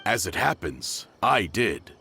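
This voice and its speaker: A low-pitched, calm townsman. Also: takes it slowly, creaky, a little hiss or hum, heavily acted. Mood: matter-of-fact. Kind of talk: anime-style video game voice acting. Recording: faint music behind the voice.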